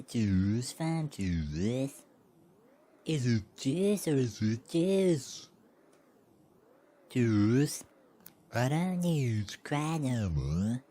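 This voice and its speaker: A man voicing an irreverent comic oddball with a husky voice, crooning in an absurd, drawn-out way. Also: medium pitch, dark tone, full character voice.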